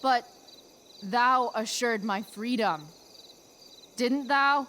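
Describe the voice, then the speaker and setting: Game role: hesitant teen girl playing a devoted spirit, highish, very slow halting verse, light outdoor insect noise. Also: fairly bright tone. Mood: wistful, earnest. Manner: halting, searching for words. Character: hesitant teenage girl improvising as a loyal spirit servant. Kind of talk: amateur stage verse in a game cutscene.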